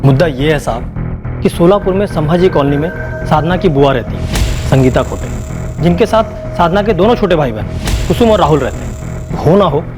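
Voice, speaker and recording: An actor playing a shrewd police informant in a TV crime drama, reporting in a pointed, deferential way. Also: male voice, on the high side, expressive, moderate pace, over music, natural voice. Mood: insinuating.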